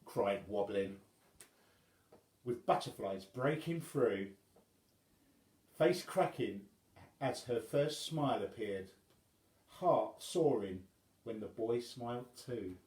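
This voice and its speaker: Guy telling a childrens story, takes his time, really animated, echoey room. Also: mid-range voice, slow pace, long pauses. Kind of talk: expressive children's story reading.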